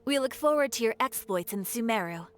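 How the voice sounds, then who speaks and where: An actress playing a polite guild receptionist in a video game, speaking in a formal, measured, courteous way. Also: female voice, fairly high pitch, lively intonation, faint music behind, lightly acted. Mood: helpful, composed.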